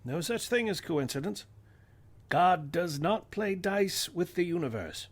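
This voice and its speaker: A man's voice: sits high, expressive, measured pace, heavily acted. A man playing a grave, learned professor who speaks precisely and with authority in a radio-play reading. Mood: solemn.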